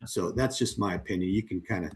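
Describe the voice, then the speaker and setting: A man, a company co-founder, walking through a software demo in a loose, conversational webinar style, with frequent ums and you-knows, his voice wearing hoarse. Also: low voice, fast. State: voice going hoarse. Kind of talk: casual walkthrough of a software demo.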